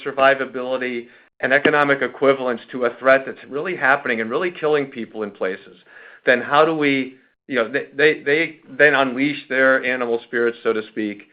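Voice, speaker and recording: American executive on a phone-like line. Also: male voice, medium pitch. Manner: conversational, anecdotal, halting with repeated words. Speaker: company executive. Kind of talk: unscripted spoken answer on an earnings call. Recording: narrow phone-like conference call line.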